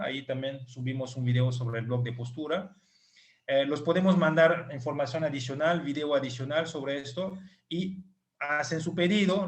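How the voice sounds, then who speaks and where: Man speaking Spanish as a second language. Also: a little high. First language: not Spanish. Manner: patient, repeats numbers slowly. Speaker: clinic doctor. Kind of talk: online group talk.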